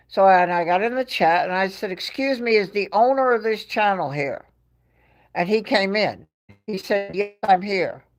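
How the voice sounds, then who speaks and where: Slow-talking New York woman, chatty. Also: medium pitch. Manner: chatty storyteller, laughs often between phrases. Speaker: livestream host. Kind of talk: casual chat to a live chat audience.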